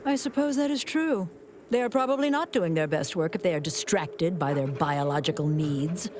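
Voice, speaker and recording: A woman's voice: fairly low pitch, animated, normal speed, background noise, close to an everyday voice. A woman voicing a greedy, penny-pinching corporate boss in a video game, pompous, theatrical and articulate. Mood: grudging.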